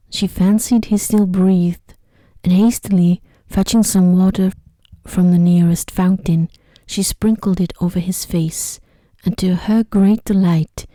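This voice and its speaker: A woman reads a sleep story in a low, very mellow voice. She is soft and breathy, often slipping toward a whisper, with a soothing, unhurried delivery. The sound is close and dry. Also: no room sound. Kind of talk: ASMR bedtime story reading. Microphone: close microphone.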